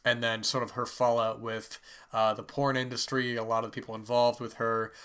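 A man's voice: medium pitch, level tone, normal speed, a bit bright, clean tone. A man who is sick and stuffy, hosting a podcast and casually reviewing a book in a rambling way with many fillers. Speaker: podcast host.

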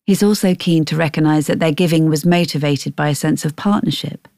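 A woman reading a scripted Bible devotional in a measured, reflective way. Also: low-pitched, creaky, a little mellow, no echo, studio quality. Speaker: devotional reader. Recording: faint music behind.

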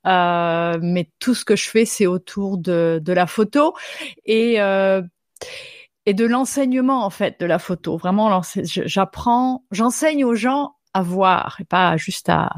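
A woman's voice: medium pitch, takes it slowly, no echo, studio quality. A woman from France, a photographer guest, chatting casually in an interview with frequent restarts and easy laughter. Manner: lively, hesitant restarts, laughs easily.

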